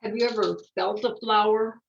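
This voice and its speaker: An older woman reads a children's story in a creaky, gravelly voice. She takes her time and slips into casual, musing asides. The sound is a bit dull and lo-fi. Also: mid-range voice, fairly even intonation, moderate pace, a little hiss or hum. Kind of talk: read-aloud picture book with casual asides.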